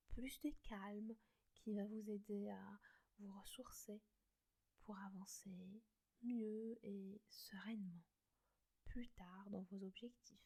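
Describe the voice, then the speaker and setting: Female meditation guide, breathy whisper, slow and mellow. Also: medium pitch. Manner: soft slow whisper, gentle instructions.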